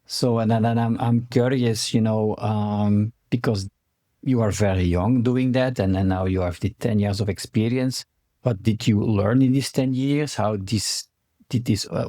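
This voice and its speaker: A man's voice: fairly low pitch, a little mellow, dry recording, studio quality. A man hosting a podcast interview, asking loose, rambling questions. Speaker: podcast host. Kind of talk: conversational interview.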